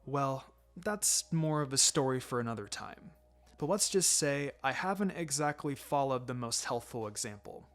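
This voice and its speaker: Man voicing a low, quiet, laid-back ninja mentor. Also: lively intonation, moderate pace, natural voice. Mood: gentle advice. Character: laid-back adult male ninja mentor, wry and gently sombre. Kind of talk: fan fiction audiobook character acting.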